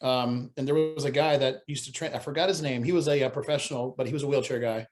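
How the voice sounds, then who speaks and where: A man's voice: mid-range voice, steady tone, fast. A man co-hosting a podcast, chatting casually and rambling, with many restarts and fillers. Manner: rambling, lots of restarts and "you know".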